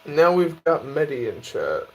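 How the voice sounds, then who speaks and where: A man's voice: a little high, measured pace, poor audio. Young man chatting on a live stream. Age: 20s. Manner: relaxed, chatty, answers viewer questions aloud. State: tired. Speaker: livestreamer reading chat. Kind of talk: casual live stream chat.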